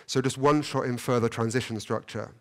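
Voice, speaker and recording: A middle-aged man giving a conference keynote lecture in a hall, talking in halting bursts with many restarts and fillers. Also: medium pitch, steady tone, fairly bright tone. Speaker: keynote speaker. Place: lecture hall, standing at lectern.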